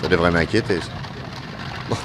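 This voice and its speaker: An actor playing a confident, bold detective chief who reasons deliberately in a French radio drama. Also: male voice, low-pitched, background noise, lightly acted. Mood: confident resolve. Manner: deliberate, reasoning, self-assured.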